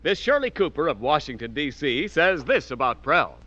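A man's voice: fairly high pitch, sing-song, moderate pace, sharp tone, lo-fi sound. A man with an American accent announcing and advertising in polished, emphatic 1940s radio style. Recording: thin vintage radio recording.

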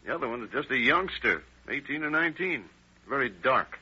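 An actor playing an easygoing police detective in a 1940s radio crime drama, talking in casual cop slang. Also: male voice, medium pitch, animated, normal speed, bright, forceful tone, slightly rough, full character voice. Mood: matter-of-fact. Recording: muffled, hissy old radio recording.

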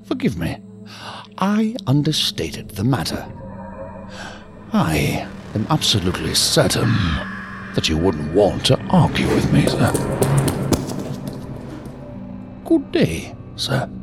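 An urbane, uncanny servant from a sci-fi audio drama. His pitch leaps and dives wildly through formal, elaborately polite sentences that carry a threat. Music plays behind him. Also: wildly swooping pitch, slow pace, full character voice. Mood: coolly threatening. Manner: ornate, formal, mock-courteous phrasing. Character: eerie, urbane male elevator attendant in green suit, menacingly polite. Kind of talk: science fiction audio drama acting.

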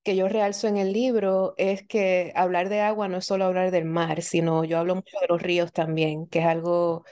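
A woman speaks as an interview guest, with a mellow, warm tone. She sounds reflective and unhurried. She often ends her points with a questioning tag. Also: lowish voice, flat delivery. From Puerto Rico. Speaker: guest author, professor. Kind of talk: thoughtful interview answers.

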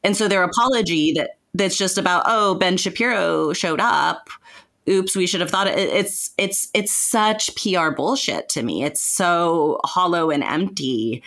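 A woman's voice: fairly low pitch, unhurried, a bit bright. A woman hosting a casual podcast discussion, giving opinions in an earnest, deliberating way. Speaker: podcast host.

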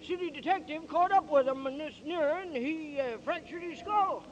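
A chatty station master, high and nasal in tone, full of hesitant "uh"s and homespun sayings. He comes through a muffled old 1940s radio broadcast. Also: normal speed, a little hiss or hum. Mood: gossipy. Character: gossipy male small-town station master.

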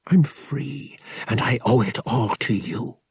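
A man voicing a determined, grieving young space pilot in a breathy, hushed, emotional way for an audiobook. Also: mid-range voice, slow, no room sound, exaggerated voice. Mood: hushed, tearful resolve.

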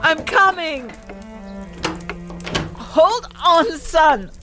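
Shrill, frantic grieving mother. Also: sing-song, very slow, music in the background, heavily acted. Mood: frantic, desperate. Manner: wailing, frantic, pleading. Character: desperate grieving elderly mother, frantic for her dead son. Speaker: actor. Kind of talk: horror audio drama acting.

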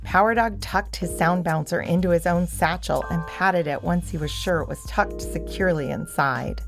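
Female narrator for a kids' audio adventure, lower register, storybook reading over faint music. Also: moderate pace, vocal fry. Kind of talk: scripted children's story narration.

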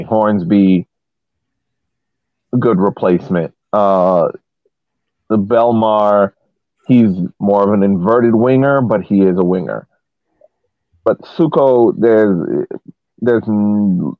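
A man talking casually as a podcast panelist analysing soccer, explaining at length in a halting way with repeated words. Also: lowish voice, dry recording. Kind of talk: casual match analysis discussion. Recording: thin phone-like line.

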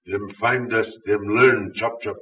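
An actor playing a loyal, wary Malayan guerrilla in a 1940s radio serial, speaking clipped pidgin English. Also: male voice, fairly low pitch, fairly even intonation, unhurried, recorded from a distance, natural voice. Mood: grim, matter-of-fact. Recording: muffled, hissy old radio recording.

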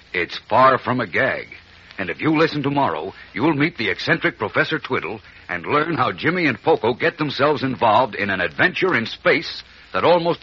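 A man's voice: medium pitch, sharp tone, slightly rough. A man narrating a 1940s radio serial as its announcer, in a dramatic scripted style. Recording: dull vintage radio recording.

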